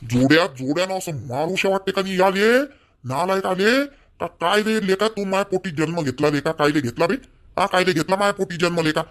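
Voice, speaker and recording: A man's voice: high voice, brisk, fairly bright tone. A man voicing a stern, exasperated village father in a cartoon, scolding in Vidarbha Marathi with repeated rhetorical questions. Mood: angry scolding.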